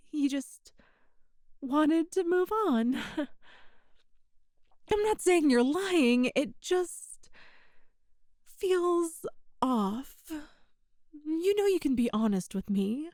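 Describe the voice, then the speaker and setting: A woman's voice: sits high, no echo, clean studio sound, exaggerated voice. A woman playing a possessive, sweet-talking female boss in an intimate ASMR roleplay, with a coaxing, hesitant manner. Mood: suspicious, sweet. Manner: coaxing, hesitant, with soft chuckles. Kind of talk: ASMR roleplay, intimate one-sided dialogue.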